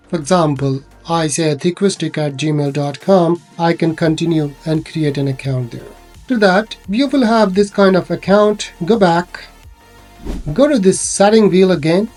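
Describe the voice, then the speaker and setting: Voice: medium pitch, darkish tone. Informal app tutorial presenter over music. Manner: informal, instructional.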